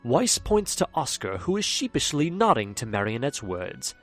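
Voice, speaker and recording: A man's voice: mid-range voice, sing-song, normal speed, fairly bright tone, faint music behind. A man narrating a fan audio drama in a hammy, theatrical way, speaking straight to the audience. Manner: hammy, fourth-wall-breaking storyteller.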